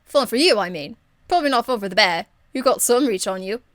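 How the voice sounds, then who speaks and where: A woman voicing a cheeky, irreverent young female rogue archer in an amateur game voice demo, blunt, slangy and excitable. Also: mid-range voice, a little fast, no echo, clean studio sound, close to an everyday voice. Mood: playful, blunt.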